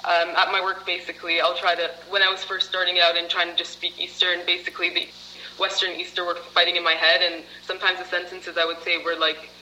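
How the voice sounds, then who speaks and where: A woman's voice: lowish voice, monotone, quick pace, bright, forceful tone, slight background noise, rough recording. A woman as a program participant, answering interview questions in a chatty way, with many fillers and laughs.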